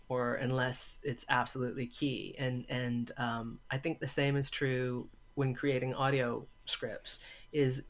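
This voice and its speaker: A man being interviewed, talking thoughtfully and thinking aloud in short, halting phrases. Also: mid-range voice. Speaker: interview guest, audio drama maker and filmmaking teacher.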